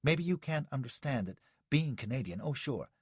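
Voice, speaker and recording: Earnest young frontiersman, low and fervent, done by a male reader, husky, animated. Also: moderate pace, dry recording. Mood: fervent confiding. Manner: solemn, fervent, low-voiced confiding.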